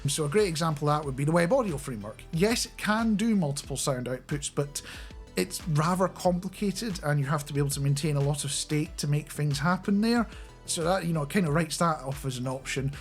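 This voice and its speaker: A middle-aged man giving a casual, chatty and animated explainer into a close studio microphone. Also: a little high, a little fast, faint music behind. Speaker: radio producer and software developer presenting a video. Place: small home studio indoors. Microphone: close studio microphone on a boom arm.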